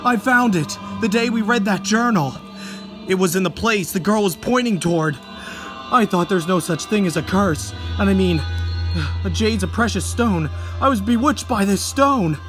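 A man's voice: sits high, expressive, moderate pace, over music, pitched above the performer's own voice, poor audio. A man voicing a terrified cursed boy in a horror comic, strained, urgent and pleading. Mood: anguished. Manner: panicked, stammering, urgent.

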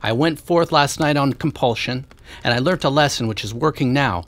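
A woman's voice: rumbling low, moderate pace, no room sound, pitched below the performer's own voice. A woman voicing a humbled, hesitant old male miser who stammers as he speaks. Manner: stammering, subdued. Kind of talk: audiobook character reading.

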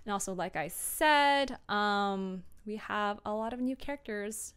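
A woman's voice: medium pitch, measured pace, a bit bright, clear, resonant tone. A young adult woman chatting casually on a livestream as a creator giving tips, in an informal, self-deprecating, giggly manner. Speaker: streamer and audio drama creator. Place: small home room, indoors.

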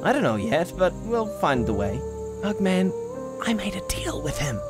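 A man's voice: high voice, sing-song, normal speed. A man voicing a stern, wary young mug brother in a cartoon comic dub, earnest and insistent. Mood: determined. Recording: prominent music behind the voice.

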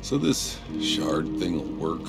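An actor playing a rugged, weary, stoic middle-aged warrior in a video game, gravelly and laconic, with dry understatement. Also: male voice, low-pitched, big pitch swings, takes it slowly, exaggerated voice. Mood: guarded, questioning. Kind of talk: naturalistic game cutscene acting. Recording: loud cinematic music underneath.

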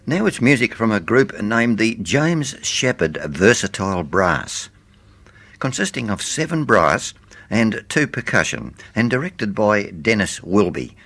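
A man presenting a music programme on radio, reading scripted introductions in a measured, formal way. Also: on the low side, very animated, vocal fry, a bit bright.

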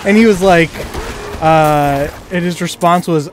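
A man's voice: fairly high pitch, unhurried. A man chatting casually and jokingly as he plays a video game, rambling along. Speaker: gamer. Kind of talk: casual co-op gaming chat. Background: game music.

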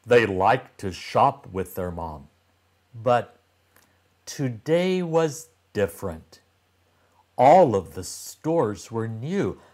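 An older man reading a children's story aloud with expressive, deliberate and emphatic delivery. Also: medium pitch. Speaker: storybook reader for young children.

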